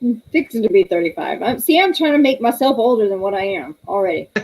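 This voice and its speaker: A woman's voice: mid-range voice, expressive, fast talker, a little mellow, rough recording. A woman, hosting a casual livestream, chatty and laughing often as she reads viewer comments aloud. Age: 30s. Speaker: livestream host.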